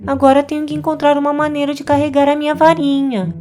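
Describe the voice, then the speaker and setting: Young witch girl for kids' animation woman performer: high, cheerful, playful and confident, Brazilian accent, faint music underneath. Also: steady tone, quick pace, lightly acted. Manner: chirpy, playful, chanting spells. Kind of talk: children's cartoon dubbing.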